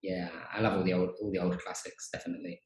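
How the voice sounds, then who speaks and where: A man's voice: low voice, fast talker. Male host for a casual video-call chat, easygoing, with echo in the room. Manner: relaxed, chatty, frequent fillers. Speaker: podcast host, teacher trainer. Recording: roomy echo.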